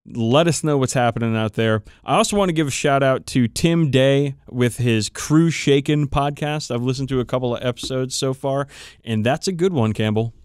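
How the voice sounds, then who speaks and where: A man's voice: mid-range voice, moderate pace, a little mellow, smooth, no room sound, clean studio sound. A man co-hosting a podcast in casual, teasing banter with some swearing. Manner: profane, teasing. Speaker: podcast co-host.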